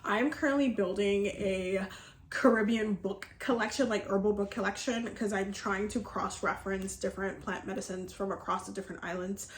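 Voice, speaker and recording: A chatty, upbeat woman from the Dominican Republic talks casually to her viewers about her healing work. Her voice sits at an ordinary middle pitch and rings off the walls of an echoey indoor room, with a faint hum of noise underneath. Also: fairly even intonation, moderate pace. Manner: chatty and upbeat, with frequent fillers and laughs. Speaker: herbalism and energy healing teacher. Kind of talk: casual video chat to viewers.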